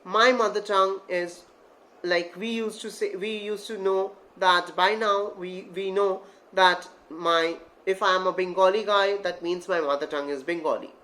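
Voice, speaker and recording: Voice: medium pitch, fairly bright tone. Voice and tutor, online video lesson, step-by-step examples, frequent "okay?" checks. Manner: keeps checking understanding with "okay?" and "cool?"; calls viewers "guys", explains step by step with examples. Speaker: English voice and accent tutor.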